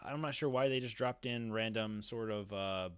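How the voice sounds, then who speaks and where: A man's voice: on the low side, moderate pace, clean tone, dry recording. Hesitant man, casual, dry close sound. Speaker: podcast panelist. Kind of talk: casual chat. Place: home room, indoors. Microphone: close podcast mic on video call.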